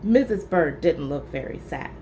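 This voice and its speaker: Expressive woman reading a children's storybook. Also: deep voice, normal speed, a little hiss or hum. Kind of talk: children's storybook read-aloud.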